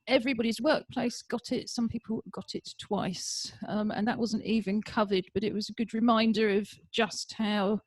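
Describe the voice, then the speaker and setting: A woman's voice: on the high side, fairly even intonation, moderate pace, hardly any pauses, a little mellow, no room sound, low-quality recording. A woman introducing and then reading her rhyming poem over a video call, chatty then rhythmic. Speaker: poet reading.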